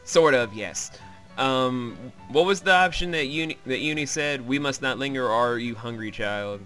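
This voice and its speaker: Guy doing a sweary game recap, bright crisp voice, music playing behind him. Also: mid-range voice, normal speed. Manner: wry, sweary, rambling. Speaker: let's play host. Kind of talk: casual visual novel commentary and recap.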